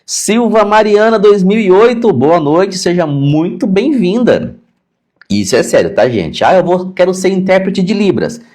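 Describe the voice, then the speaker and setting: A man's voice: high-pitched, big pitch swings, a little slow, a little mellow. A middle-aged man with a Brazilian Portuguese accent, a teacher giving an informal live lesson in a conversational, didactic way, repeating key points for emphasis. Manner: conversational, acts out questions to himself. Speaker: sign language teacher. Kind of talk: informal live-stream lesson answering viewer questions.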